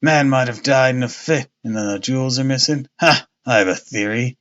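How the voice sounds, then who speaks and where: A man voicing a stout, pompous police inspector with a husky, wheezing tone, blustering and repeating himself. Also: mid-range voice, brisk, fairly bright tone, lo-fi sound, lightly acted.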